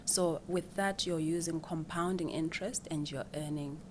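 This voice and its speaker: Woman, deep low voice, guest explaining, repeats words, slight background hiss. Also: fairly even intonation, normal speed. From Zimbabwe. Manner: explanatory, with frequent repeated words and small laughs. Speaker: financial planner guest. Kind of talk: casual interview answers.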